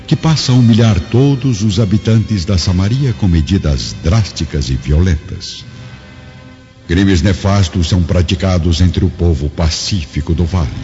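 A man's voice: deep voice, dark tone. A man narrating a radio drama from a script in a solemn, literary, dramatic style. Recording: dull lo-fi radio drama audio. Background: background music.

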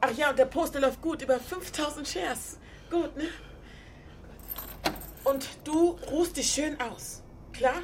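A woman's voice: high-pitched, unhurried, long pauses, noisy background. Frank, caring young woman. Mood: caring, brisk. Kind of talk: radio drama acting.

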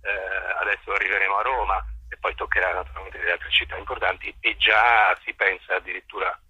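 Male interviewee, theatre director type: low register, very sharp bright edge, wordy and formal, searching for the right word, dry radio-interview sound. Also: flat delivery, husky, no echo, poor audio. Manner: articulate, deliberate, formal and wordy, searching for words. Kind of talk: reflective interview answers.

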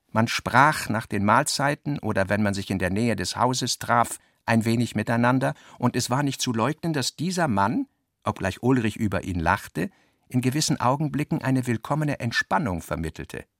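An actor playing the reflective narrator of a modernist novel in a radio play, with measured, deliberate phrasing. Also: male voice, mid-range voice, fairly bright tone, no echo, clean studio sound.

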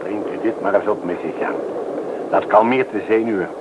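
An actor playing a self-assured upper-class gentleman with a suave, haughty manner in a radio play. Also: on the low side, normal speed, natural voice. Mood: suave, insistent. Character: self-assured older upper-class gentleman pressing cognac on fellow travellers. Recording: dull, noisy old radio play recording.

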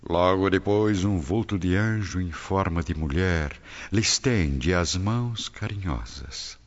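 A man's voice: low-pitched, a little slow. A man with a Brazilian accent giving solemn scripted narration for a radio drama. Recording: dull lo-fi, music behind.

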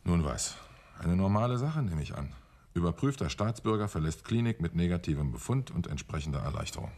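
An actor playing a sardonic, excitable rebel in a radio drama, speaking in terse, restless phrases. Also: male voice, sits low, a little fast, slightly rough, no echo, exaggerated voice.

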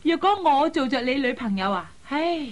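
A young Cantonese little sister in a radio drama. Her voice is high, and she chatters in a theatrical, teasing way, flighty and bluntly outspoken. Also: expressive, normal speed. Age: young adult.

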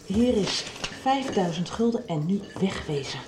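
A woman's voice: mid-range voice, animated, a little slow, echoey room, background noise, natural voice. An actress playing a resolute, sardonic woman who stands her ground firmly in a radio soap. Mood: cutting, determined. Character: resolute woman facing down a blackmailer, protective, cutting.

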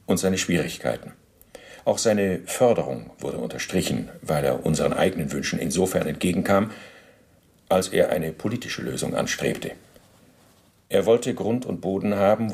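An actor playing a former SS officer under interrogation in a radio drama, recounting in a precise, bureaucratic, self-justifying way. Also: male voice, low voice, creaky, darkish tone.

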